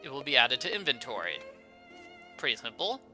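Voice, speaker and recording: A man's voice: mid-range voice, big pitch swings, a little fast, pushed and bright. A man giving casual, playful commentary as he hosts a video game playthrough. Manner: chatty, playful, informal. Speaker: gaming video host. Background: faint game music.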